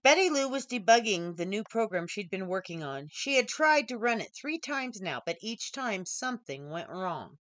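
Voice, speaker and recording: A woman reads her own fiction as an audiobook narrator. Her mid-pitched voice moves in a lively way through the scripted prose. The sound is dry, with hardly any room in it. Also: moderate pace, few pauses, no echo. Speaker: author reading own story.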